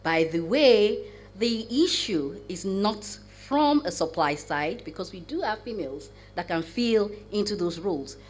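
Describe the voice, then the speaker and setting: A woman who has a cough and sounds croaky, speaking as a panelist in a measured, explanatory way over a video call. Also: medium pitch, lively intonation, a little hiss or hum. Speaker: corporate board evaluation advisor and panelist. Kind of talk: panel discussion answer.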